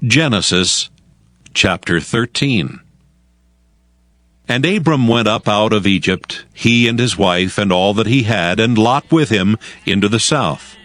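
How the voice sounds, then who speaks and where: A man narrating scripture as a scripted dramatized audio reading, in a solemn, measured storytelling manner. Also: fairly low pitch, lively intonation, a bit bright, dry recording. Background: music.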